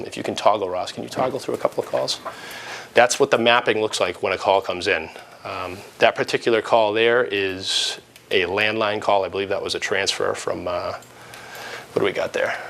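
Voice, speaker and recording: A man's voice: lowish voice, fairly bright tone. A man explaining things informally, step by step, as a supervisor giving an interview and tour. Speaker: police dispatch supervisor. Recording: clearly noisy room ambience.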